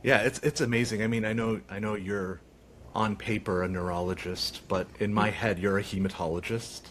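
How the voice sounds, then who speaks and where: A man's voice: lowish voice, steady tone, moderate pace, slight background noise. A man hosting a podcast interview, asking long, rambling questions full of fillers. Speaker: podcast host, physician.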